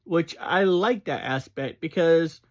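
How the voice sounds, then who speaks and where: An unhurried, chatty reviewer in their thirties or forties talks through their thoughts at a slow pace, with a voice in the middle of the usual range. The manner is easygoing and informal, with small laughs and filler sounds mixed in. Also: mid-range voice. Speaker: fan reviewer. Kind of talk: casual spoken review.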